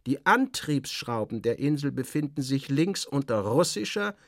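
A man reading an audiobook and voicing a stiff, evasive official who explains things in a brisk, officious, emphatic way. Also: medium pitch, big pitch swings, vocal fry, no room sound, clean studio sound. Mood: brisk, informative. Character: stiff, evasive male official guiding a visitor.

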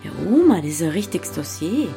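An actress playing a feisty, curious young village innkeeper with a Bavarian accent, blunt and natural in an audio drama. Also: female voice, fairly low pitch, big pitch swings, brisk, mellow, faint music behind. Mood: curious, puzzled.

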